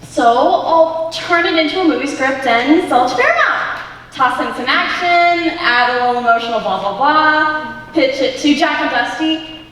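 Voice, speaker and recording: A woman's voice: high-pitched, lively intonation, moderate pace, a bit bright. A teenage student actress with an American accent playing a laid-back, unflappable young woman in a stage comedy. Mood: breezy. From United States. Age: teens. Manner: laid-back, reassuring, glib. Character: breezy, unflappable young woman, cheerfully philosophical. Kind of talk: one-act stage comedy acting. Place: school auditorium stage. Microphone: distant camera microphone. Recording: echoey auditorium recorded from far off, hiss.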